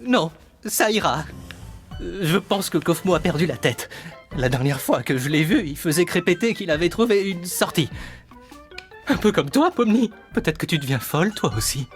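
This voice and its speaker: A man's voice: high voice, lively intonation, normal speed, faint music behind. A man voicing a gloomy, paranoid cartoon character in French dubbing, dry and uneasy. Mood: wary, muttering. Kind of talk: French-dubbed animated series acting.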